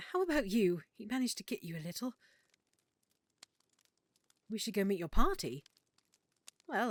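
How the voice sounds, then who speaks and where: A woman playing a warm, teasing half-elf merchant in an intimate audio roleplay, speaking playfully and affectionately. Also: medium pitch, no room sound. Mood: gentle reassurance.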